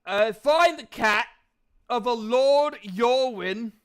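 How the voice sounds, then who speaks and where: A man narrating a tabletop fantasy game as game master, with halting phrasing. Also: very high-pitched, a bit bright, smooth.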